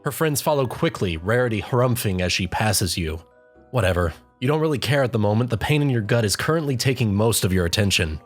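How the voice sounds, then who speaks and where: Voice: medium pitch, animated, brisk. Scripted second-person narration, mid-range voice, audio story reading, faint music behind. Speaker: fanfic narrator.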